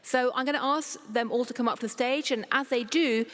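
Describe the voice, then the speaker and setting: A woman's voice: high voice, steady tone, fairly quick, a bit bright, big-room sound. A woman hosting a live conference, introducing speakers and stopping for brief asides. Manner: fluent, stops for asides while sorting notes. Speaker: conference host.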